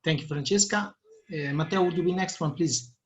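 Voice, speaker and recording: A man moderating an online debate, explaining the schedule with many pauses and fillers. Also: on the high side. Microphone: video call. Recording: lo-fi video call audio.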